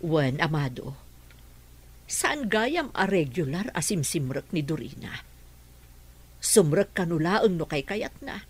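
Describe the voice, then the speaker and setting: A woman's voice: on the low side, slightly rough. A woman playing a caring, worried middle-aged mother in a radio drama.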